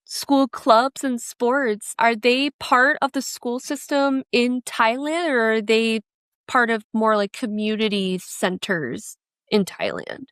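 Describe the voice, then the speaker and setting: A woman's voice: a little high, slow pace, a bit bright, smooth, no room sound, clean studio sound. A woman hosting a casual interview, asking conversational questions as an attentive listener. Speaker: interviewer, podcast host.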